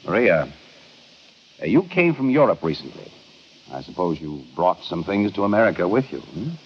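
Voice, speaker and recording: A bossy radio police inspector, deep voice, pointed questions, muffled noisy old recording. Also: male voice, sing-song, normal speed. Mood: probing. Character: commanding, probing male police inspector. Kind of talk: old-time radio detective drama acting.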